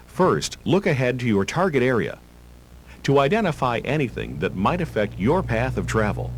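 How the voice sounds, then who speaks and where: Male narrator for a driver-training video: measured, instructive, varied intonation, faint tape hum underneath. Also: medium pitch, very animated, poor audio. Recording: hum and hiss of old tape.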